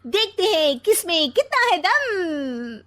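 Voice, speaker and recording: A woman's voice: extremely high pitch, big pitch swings, slow pace, bright, forceful tone, pitched above the performer's own voice, full character voice. A woman voicing a cheeky, confident young daughter-in-law in a comic family story, perky and teasing. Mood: eager.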